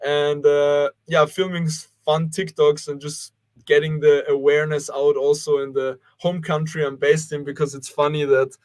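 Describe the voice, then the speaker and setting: A man's voice: fairly high pitch, flat delivery, moderate pace, a little mellow. A young man from Austria, a guest organizer on a live online panel, answering in a rambling way with many fillers. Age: young adult. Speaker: guest, youth cleanup organizer. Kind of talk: casual livestream panel answer.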